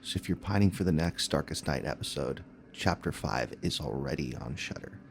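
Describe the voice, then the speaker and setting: Very deep, gravelly man reading an ad. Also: animated, normal speed, slight background noise. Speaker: podcast sponsor announcer. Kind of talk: scripted ad read.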